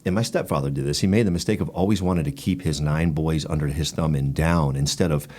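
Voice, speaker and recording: A man's voice: very deep voice, quick pace, a little mellow. A middle-aged man telling stories conversationally as a podcast guest, talkative and matter-of-fact. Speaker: podcast guest, former military physician assistant. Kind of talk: conversational storytelling.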